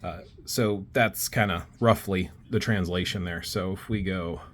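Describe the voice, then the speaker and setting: A man's voice: low voice, a little slow, slight background noise. A man teaching a self-taught craft in a casual step-by-step tutorial, talking while he draws and thinking aloud. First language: not Icelandic. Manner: relaxed, talks while drawing, thinking aloud with fillers.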